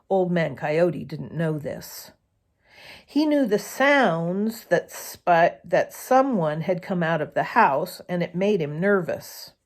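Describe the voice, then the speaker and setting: Slow-paced woman reading a children's story. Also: on the low side, darkish tone. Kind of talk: expressive children's story narration.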